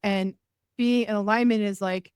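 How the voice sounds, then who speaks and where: A woman's voice: medium pitch, fairly even intonation, normal speed, darkish tone, smooth, no echo, clean studio sound. A woman from New England, a podcast guest and healer, giving advice in short listed phrases with occasional laughs. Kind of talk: casual interview chat.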